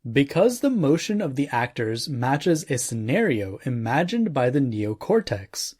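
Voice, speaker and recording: A man narrating an audiobook in a measured, formal, explanatory way from a script. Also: medium pitch, darkish tone.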